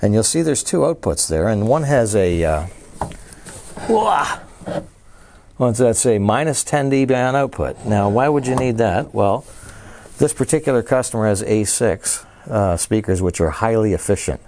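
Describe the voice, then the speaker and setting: A man's voice: fairly low pitch, lively intonation, moderate pace, background noise. A man casually showing and explaining a custom-built preamp, walking through its switches and features with enthusiasm. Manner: enthusiastic, explains features while handling gear. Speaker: audio dealer presenting a product. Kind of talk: casual product demo.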